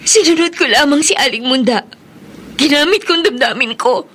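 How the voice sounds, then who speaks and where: A woman's voice: sits high, lively intonation, moderate pace, a bit bright. A woman playing an anguished, devout young mother in a horror radio drama, melodramatic and breathlessly emotional. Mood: grieving.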